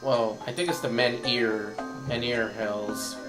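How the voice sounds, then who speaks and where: Hoarse man commentating a game. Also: mid-range voice, fairly even intonation, slow pace, echoey room, poor audio. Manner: rambling, thinks aloud while playing. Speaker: let's play commentator. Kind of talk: casual gameplay commentary. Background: game music.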